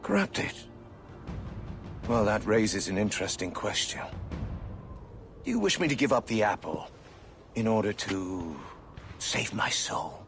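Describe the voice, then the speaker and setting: A proud male ruler from a dramatic video game. The voice sits low and moves expressively as he probes with measured, rhetorical questions. Music plays clearly behind him. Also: lively intonation, a bit bright, exaggerated voice. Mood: smug, probing. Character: proud, calculating male ruler and commander, coolly persuasive.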